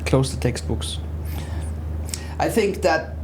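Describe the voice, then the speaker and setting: A man's voice: a little high, expressive, takes it slowly, noisy background. A man, talking conversationally as a guest in an interview. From Austria. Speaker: interview guest, business therapist.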